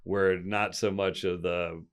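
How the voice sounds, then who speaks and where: Podcast guest, man in his fifties: deep smooth voice, open and reflective, thinks aloud with restarts. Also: little pitch movement, takes it slowly. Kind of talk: candid conversational storytelling.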